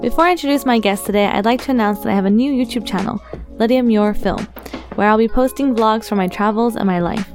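A young woman in her twenties hosting a podcast interview in a relaxed, chatty way, laughing often. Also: on the high side, fast talker, soft background music. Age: 20s. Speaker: podcast host.

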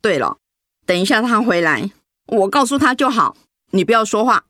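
A protective, flustered mother in a radio drama. Her Taiwanese Mandarin is very animated in pitch and fretfully hesitant, recorded close and dry. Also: moderate pace, no room sound. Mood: flustered, insistent. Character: protective, flustered middle-aged mother hiding bad news.

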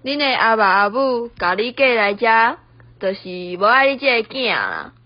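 A woman's voice: a little high, big pitch swings, moderate pace. Young woman acting a bossy, nagging Taiwanese mother-in-law, roomy sound. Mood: threatening, scornful. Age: young adult. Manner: nagging, switches between Hokkien and Mandarin. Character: bossy, scolding middle-aged Taiwanese mother-in-law, quick to nag. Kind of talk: amateur radio play acting. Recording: dull, lo-fi, roomy home recording.

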